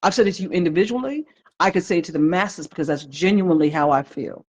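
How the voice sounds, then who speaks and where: A woman's voice: fairly low pitch, fast talker, darkish tone, husky. A woman in her fifties hosting a live stream chat, heartfelt and reflective, greeting viewers by name as she talks. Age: 50s. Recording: dull lo-fi livestream audio.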